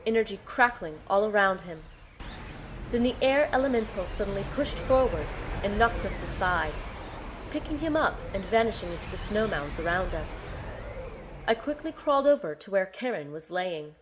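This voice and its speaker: A woman's voice: mid-range voice, animated, normal speed, background noise, close to an everyday voice. An actress playing an earnest young girl in an audio drama, telling the story in first person and speaking in it. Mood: urgent narration. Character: earnest young girl narrating her team's battle.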